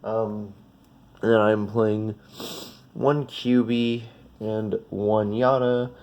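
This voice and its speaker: A man's voice: fairly low pitch, flat delivery, very slow, darkish tone, muffled recording. A man with a slightly sniffly, congested voice gives a casual, unscripted card deck walkthrough in an informal, rambling, self-correcting way. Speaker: trading card video creator.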